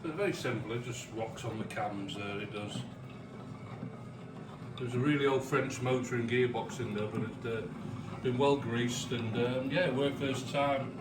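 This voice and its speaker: A man's voice: mid-range voice, steady tone, moderate pace. An English man casually demonstrating something hands-on, explaining in a colloquial, matter-of-fact way. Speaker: restorer of vintage arcade machines presenting the work. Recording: roomy, distant mic with clear background noise.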